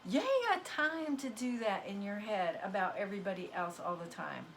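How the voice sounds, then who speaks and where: A woman's voice: medium pitch, expressive, normal speed, a bit bright, a little hiss or hum. A woman who is losing her voice, giving a casual, emphatic spiritual pep talk as a card reader, folksy and preachy in tone. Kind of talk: casual motivational talk to viewers.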